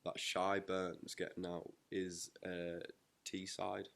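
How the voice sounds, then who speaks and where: A man's voice: low voice, completely flat delivery, slow, gravelly, fairly bright tone. A young man with a North East English accent, interviewing in a casual, slangy chat. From North East England.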